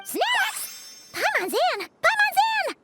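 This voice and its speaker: A woman voicing a tiny, excitable, naive fairy companion with a squeaky childlike voice in an anime game dub. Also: takes it slowly, a little hiss or hum, exaggerated voice. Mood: greedy excitement.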